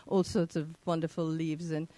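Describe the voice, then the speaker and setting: A woman's voice: deep voice, flat delivery, moderate pace, warm tone, no echo. A woman from England hosting an interview podcast, asking long rambling questions with many fillers. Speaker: podcast host. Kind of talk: casual interview.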